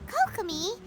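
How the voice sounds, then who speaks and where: Squeaky childlike fairy girl companion. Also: exaggerated voice. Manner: refers to self in third person, exclamatory. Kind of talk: anime video game voice acting. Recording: music behind the voice.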